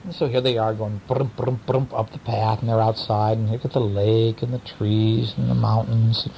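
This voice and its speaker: A man's voice: level tone, moderate pace, creaky, lo-fi sound. A man, a father, telling a bedtime story to a child in a playful, theatrical, teasing way, at home. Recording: home recording with rumble and background noise.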